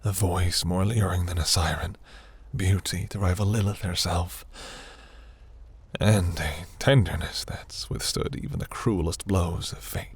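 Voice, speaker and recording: A man playing a seductive, imperious demon prince in a fantasy audio roleplay, speaking in a silky, commanding, breathy murmur. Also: no echo, full character voice. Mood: flattering, smug. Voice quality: low, gravelly, breathy.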